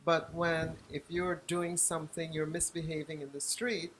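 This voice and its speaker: A woman's voice: sits low, level tone, normal speed, a little hiss or hum, rough recording. Reflective older woman from Guyana. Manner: reflective, searches for words. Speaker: author and journalist, guest. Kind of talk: interview.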